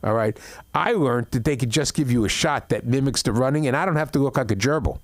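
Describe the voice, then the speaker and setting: A middle-aged man doing an open mic stand-up set, rambling through stories with stammered restarts and deadpan complaints. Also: medium pitch, quick pace. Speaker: stand-up comedian. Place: comedy club open mic.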